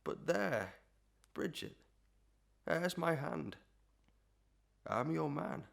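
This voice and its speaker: A man playing a pompous, lovestruck parish official in a Victorian melodrama, grandiloquent and mock-poetic, often clearing his throat. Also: a little high, expressive, drawn-out words, full character voice. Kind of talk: Victorian melodrama audio acting.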